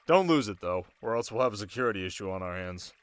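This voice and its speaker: A man playing a young, sharp desert king in an intimate ASMR roleplay, teasing his new wife with measured, quietly commanding speech. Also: lowish voice, animated, natural voice. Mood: playful, brisk.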